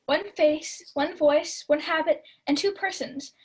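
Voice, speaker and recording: High-voiced young woman as a lovesick, wounded Duke. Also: unhurried, low-quality recording. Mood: astonished. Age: young adult. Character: lovesick, wounded, angry Duke, a grown man. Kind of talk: 1940s radio play Shakespeare acting.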